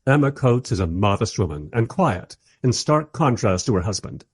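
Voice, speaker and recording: An actor playing a polite, squeamish documentary interviewer who narrates in a hesitant, wry manner in a radio play. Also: male voice, lowish voice, big pitch swings, moderate pace, a little mellow, breathy edge, no echo, studio quality, exaggerated voice. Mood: wry, uneasy.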